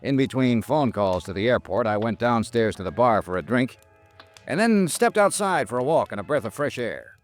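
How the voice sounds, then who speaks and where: An actor playing a tough, wry insurance investigator in a 1950s radio drama, with a clipped hard-boiled delivery. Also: male voice, mid-range voice, big pitch swings, moderate pace, soft background music, natural voice. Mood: wry, matter-of-fact. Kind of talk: 1950s radio detective acting, first-person expense-account narration.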